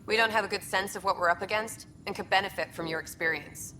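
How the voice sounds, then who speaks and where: Woman as bright, forceful young lieutenant. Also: medium pitch, fast, a little hiss or hum, close to an everyday voice, low-quality recording. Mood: determined. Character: brisk, determined female military lieutenant. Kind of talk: video game dialogue acting. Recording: faint background ambience.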